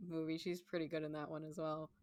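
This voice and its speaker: Woman podcast cohost, lowish voice, chatty and loose, says like a lot. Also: level tone, fast, a little mellow. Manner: conversational, peppered with "like" and "you know". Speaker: podcast co-host, stage performer. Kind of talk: casual chat.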